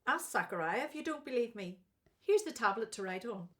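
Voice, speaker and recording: An actress playing a firm, loving elderly wife and mother with a brisk manner in a biblical radio play. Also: on the high side, lively intonation, a bit bright. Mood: firm, impatient.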